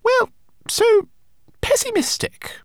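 A man's voice: very high-pitched, slow pace, no room sound, studio quality, voice pushed up. A man voicing a tiny, anxious, pessimistic male homunculus in a children's audiobook, with a wailing, fretful manner.

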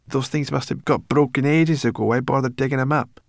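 A man's voice: medium pitch, fairly even intonation, a little fast, creaky, dry recording, clean studio sound, pitched above the performer's own voice, natural voice. A man voicing a feisty, bossy young female brownie creature in a storybook, brash and impatient. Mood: scornful, curious.

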